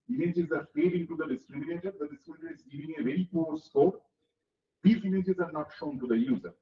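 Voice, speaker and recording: A man's voice: high-pitched, a little mellow. A middle-aged man with a lecturing on a technical topic, explaining step by step and checking whether listeners follow. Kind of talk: technical lecture with classroom analogies. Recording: echoey, dull lo-fi hall recording.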